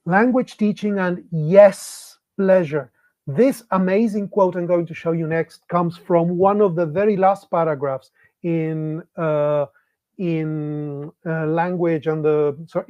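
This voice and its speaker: A man's voice: a little high, steady tone, a little slow, darkish tone, no echo, muffled recording. A middle-aged man from Argentina, a Spanish speaker, giving an online talk to English teachers in a reflective way, posing many rhetorical questions.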